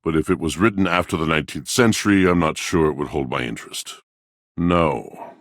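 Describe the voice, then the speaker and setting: Brooding video game washing machine, bottomless bass, dry close sound, weary, formal, grandly tragic. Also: male voice, brisk, fairly bright tone, no room sound. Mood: reserved, melancholy. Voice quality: very deep, husky. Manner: theatrical, formal, brooding, poetic. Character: melancholy, proud, antiquated washing machine, weary and literary. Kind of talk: video game character voice acting.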